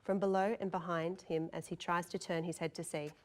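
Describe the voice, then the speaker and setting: A woman's voice: on the low side, level tone, brisk, a little mellow. A woman narrator reading scene descriptions and stage directions from a script.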